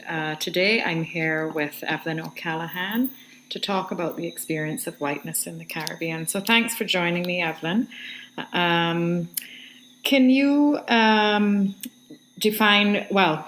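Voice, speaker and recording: A woman's voice: lowish voice, measured pace, fairly bright tone, a little hiss or hum, rough recording. A woman hosting an interview, asking questions with hesitations and fillers. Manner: hesitant, searching for words. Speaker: interviewer and project host.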